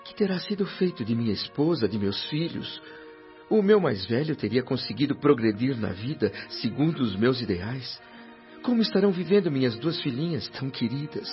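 An actor playing a grieving, self-pitying middle-aged doctor in a radio drama, lamenting and pleading. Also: male voice, medium pitch, expressive, moderate pace, lightly acted. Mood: anguished. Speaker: André Luís. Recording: dull radio drama audio with faint music.

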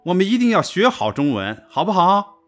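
A man's voice: sits high, very animated, brisk, a bit bright, soft background music. A man playing an insistent, instructive father of a young girl in a radio drama.

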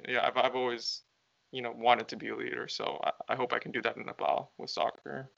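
Bright-toned young man, easygoing. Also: mid-range voice, level tone, a little fast, vocal fry. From United States. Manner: easygoing, rambling with fillers. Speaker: guest, campaign founder. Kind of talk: casual podcast interview. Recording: dull lo-fi sound.

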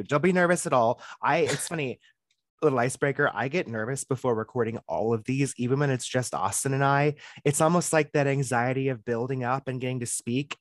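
A man's voice: mid-range voice, dry recording, studio quality. A man hosting a podcast, welcoming a guest in a friendly, casual manner. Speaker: podcast host. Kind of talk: podcast intro and casual interview.

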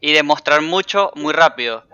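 Male interviewer, informal and conversational, very bright smooth voice a little high, dry and slightly dull recording. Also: normal speed, no room sound. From Latin America, outside Argentina. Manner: informal, acts out quoted remarks. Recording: dry but slightly dull, band-limited sound.

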